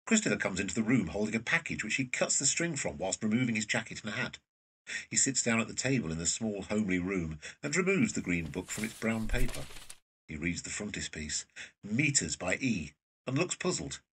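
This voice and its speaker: A man's voice: lowish voice, very animated, a little fast, a bit bright, far from the mic, slight background noise, low-quality recording. A man reading scripted narration of scene directions for an audio drama.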